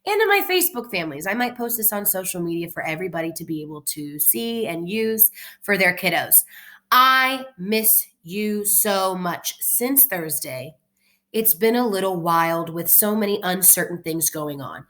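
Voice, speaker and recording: A woman speaking as an elementary teacher, expressive and encouraging, in simple words for children and their families. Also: on the low side, normal speed. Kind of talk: children's read-aloud with casual talk to families.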